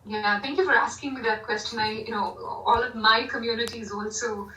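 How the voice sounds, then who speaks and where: A woman's voice: fairly high pitch, steady tone, brisk, bright, forceful tone. A woman from India, a university Hindi lecturer, answering interview questions thoughtfully with many "you know" fillers. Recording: echoey room, distant from mic.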